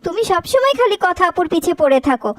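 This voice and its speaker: Woman, very high-pitched, whiny and complaining, dry studio sound. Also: sing-song, quick pace, a bit bright, no echo. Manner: sulky, complaining. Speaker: voice actor for a cartoon sister. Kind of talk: dubbed cartoon dialogue.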